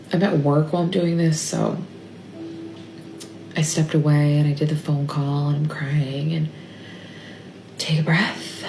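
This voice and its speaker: A mother speaking in a halting, tearful confessional, often trailing off and dropping to a whisper. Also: deep voice, dark tone. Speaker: mother sharing a personal update. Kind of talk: emotional confessional monologue. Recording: echoey room with faint ambient noise.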